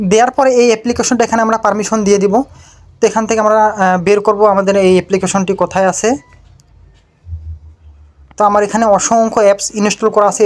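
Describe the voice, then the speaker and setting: A woman narrates a step-by-step tech tutorial as its host, in an instructional, repetitive way. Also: medium pitch.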